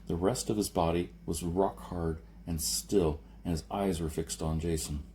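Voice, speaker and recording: A man's voice: low voice, steady tone, unhurried, mellow, slight background noise. A man reading a science fiction story as scripted narration, in the manner of an amateur audiobook reader. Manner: amateur reading with slips and retakes.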